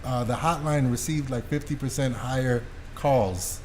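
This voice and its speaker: A man hosts a casual podcast conversation with an informal, slangy delivery. His voice is middling in pitch for a man. The recording carries some light background noise. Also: moderate pace, a little mellow. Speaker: podcast host. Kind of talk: casual chat, interviewing.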